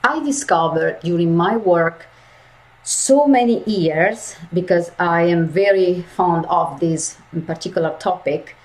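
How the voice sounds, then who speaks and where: Slow, emphatic woman voice coach. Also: lowish voice, low-quality recording. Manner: slow, emphatic, repeats key phrases. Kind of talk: lecture to university students.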